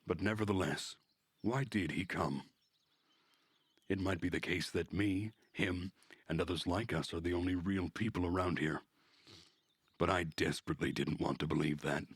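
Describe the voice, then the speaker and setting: A man narrating a visual novel aloud as a streamer, in a measured, introspective reading manner. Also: low-pitched, husky, no echo. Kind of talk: narration of a story read aloud.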